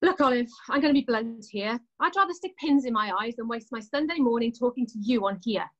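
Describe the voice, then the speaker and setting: A woman's voice: a little high, brisk, muffled recording, close to an everyday voice. A woman acting a blunt, sarcastic elder sister who holds a grudge, in a naturalistic drama. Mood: curt, irritated. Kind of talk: naturalistic stage drama dialogue.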